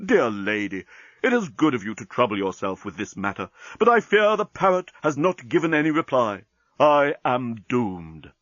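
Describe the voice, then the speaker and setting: A man voicing a blustering, anxious male starship captain who shouts his demands. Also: fairly low pitch, sing-song, a little fast, no room sound, muffled recording. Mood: gloomy.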